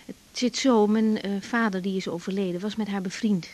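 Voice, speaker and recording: An actress playing a young doctor, understated and hesitant, in a naturalistic radio drama. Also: female voice, medium pitch, fairly even intonation, a little fast, a little mellow, lightly acted. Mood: hesitant, uneasy. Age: young adult. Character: young female doctor, hesitant, dry, matter-of-fact.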